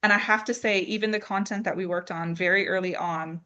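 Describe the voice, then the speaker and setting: A woman's voice: medium pitch, fairly even intonation, brisk, pushed and bright, highs cut off. A woman speaking as an expert presenter in an informal talk, explaining things with rhetorical questions and conversational check-ins. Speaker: AI expert presenting an online discussion.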